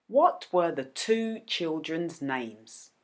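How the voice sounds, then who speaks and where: A woman with a fairly low voice hosts a quiz for children. She speaks slowly and deliberately, with very lively swings in pitch, over a faintly noisy background. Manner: deliberate, expressive, addressing children. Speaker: host of children's read-aloud videos.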